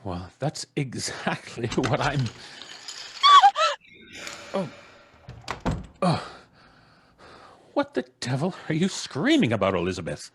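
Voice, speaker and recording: A man's voice: medium pitch, huge pitch swings, slow pace, long pauses, very noisy. An actor playing a grumbling, stammering, sceptical father in an old-time radio comedy. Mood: blustering. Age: middle-aged. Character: blustering, practical, sceptical husband and father. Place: small home studio on a video call. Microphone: close studio microphone.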